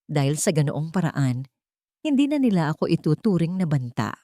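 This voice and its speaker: Casting: female reader as calm, grave wronged wealthy man in a romance audiobook, guarded delivery, dry studio sound. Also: deep voice, normal speed, no echo. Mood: grave, confiding. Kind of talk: dramatic audiobook dialogue.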